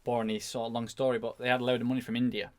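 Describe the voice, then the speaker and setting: A man's voice: mid-range voice, little pitch movement, racing. A man speaking as a podcast guest and motorcycle racer, telling anecdotes in a casual, informal way.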